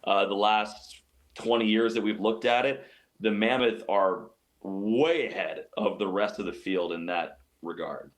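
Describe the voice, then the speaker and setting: A man's voice: lowish voice, a little slow. A man giving sports analysis as an interview guest, explaining his points emphatically and often repeating phrases. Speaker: radio play-by-play announcer as guest.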